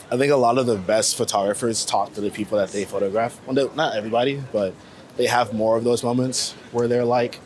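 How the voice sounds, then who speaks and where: A man in his late twenties talking casually and reflectively in a rambling, slangy way, like an interviewee out on a walk. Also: mid-range voice. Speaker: street photographer being interviewed. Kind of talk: casual walking chat. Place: outdoors on a New York City street. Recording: outdoor city street noise. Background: faint traffic and urban ambience.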